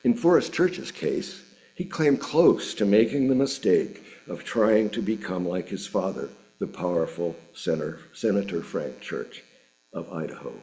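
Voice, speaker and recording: A man of middle age or beyond reads a prepared sermon in a fairly low voice. His intonation rises and falls widely as he moves through deliberate, measured phrases, pausing for rhetorical weight. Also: animated, warm tone, rough recording. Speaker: reader of a sermon at a church service. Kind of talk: sermon read aloud from a script.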